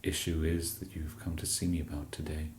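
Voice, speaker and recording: An English man in an ASMR roleplay as a shop genius, speaking softly and hesitantly with many fillers and a dry, self-deprecating humour. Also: extremely low pitch, little pitch movement, a little fast, roomy sound, slight background noise. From England.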